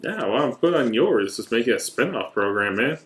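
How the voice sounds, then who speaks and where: A man's voice: medium pitch, expressive, moderate pace, fairly bright tone. A man co-hosting a podcast, chatting casually with a friend in a joking, sometimes mock-dramatic way. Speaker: podcast co-host. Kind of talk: casual banter between friends.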